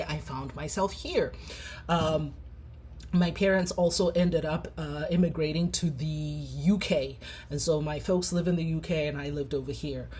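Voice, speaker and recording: A woman in her forties from Zimbabwe, a clinical psychologist telling her personal story with many pauses and fillers. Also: lowish voice, a little mellow, a little hiss or hum. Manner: reflective, many ums and uhs.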